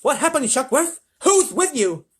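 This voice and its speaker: Man playing a shrill, blustering, excitable president. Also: moderate pace, full character voice. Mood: alarmed, shouting. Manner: shrill, exclamatory, commanding. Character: blustering, excitable, self-important male President.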